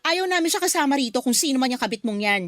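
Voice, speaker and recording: A woman's voice: high voice, animated, fast, a bit bright, no room sound, clean studio sound. An actress with a Filipino accent playing a feisty, sassy, complaining kept woman in a radio drama. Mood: indignant. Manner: sassy, exclamatory. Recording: music behind the voice.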